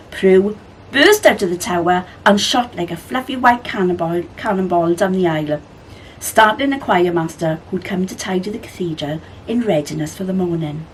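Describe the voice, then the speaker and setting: Animated woman reading a children's story. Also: mid-range voice, a little hiss or hum, rough recording. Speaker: library storyteller.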